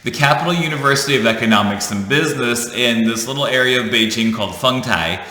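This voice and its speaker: Man in his 30s, vlogger, bright tense tone, jokey storyteller, recorded in a reverberant room. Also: medium pitch, fast talker. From United States. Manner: chatty, jokey, self-correcting. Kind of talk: casual storytelling to camera. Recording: echoey room with long reverb.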